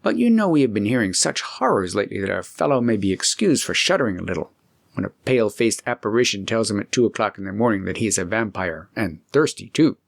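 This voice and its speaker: Man playing an animated, excitable young student. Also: on the low side, quick pace, vocal fry, exaggerated voice. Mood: sheepish. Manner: animated, exclamatory. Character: excitable, loyal young male student friend.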